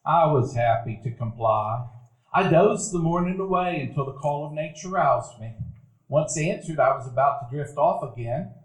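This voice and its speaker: A man's voice: on the high side, animated, moderate pace. A middle-aged man narrating a memory with wry, reflective storytelling in a live stage radio play. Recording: echoey live theater room.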